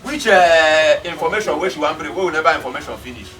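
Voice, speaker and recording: A man's voice: on the high side, fast, distant mic, over music, lightly acted. An actor playing an agitated young Nigerian man complaining to his boss. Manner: excitable.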